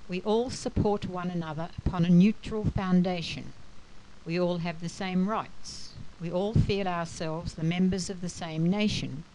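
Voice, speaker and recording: A woman narrates a scripted history lesson in a measured, explanatory way. Her voice is fairly low and soft-edged, with a mellow, dark tone. There is noticeable noise in the recording. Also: steady tone, rough recording. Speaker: lecturer.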